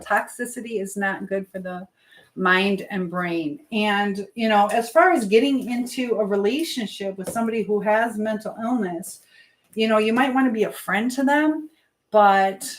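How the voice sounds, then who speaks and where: A woman's voice: mid-range voice, a little slow, reverberant. A woman hosting a live stream in casual chat, drifting informally between advice to viewers and comments on what she is doing.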